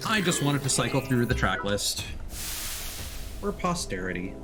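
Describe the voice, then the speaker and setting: A man's voice: medium pitch, big pitch swings, measured pace. A man doing casual live commentary as he streams a video game. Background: game music.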